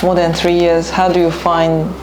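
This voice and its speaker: A woman hosting a casual interview, putting questions in halting, conversational phrases. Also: fairly low pitch, monotone. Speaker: interviewer, host. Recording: roomy echo, clear background noise.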